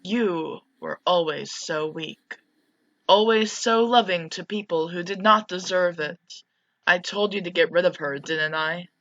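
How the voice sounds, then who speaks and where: A woman's voice: lowish voice, a little slow, a bit bright, no echo, lo-fi sound. A woman voicing a possessive, manipulative mother from a visual novel in a controlled, cloying manner. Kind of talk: visual novel dialogue read aloud.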